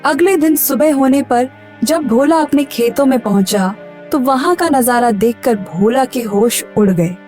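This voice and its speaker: Female storyteller, higher-pitched voice, scripted cartoon narration over light background music. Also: expressive, unhurried, a little mellow.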